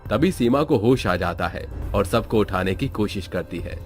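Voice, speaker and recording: A man narrating a cartoon horror story in a steady storytelling manner. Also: lowish voice, normal speed. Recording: background music under narration.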